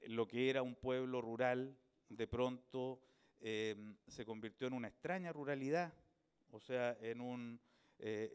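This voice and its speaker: Smooth-voiced Chilean man, reflective. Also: medium pitch. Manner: thoughtful, unhurried, searching for words. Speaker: author speaking about his book. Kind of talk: reflective public talk. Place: book fair event.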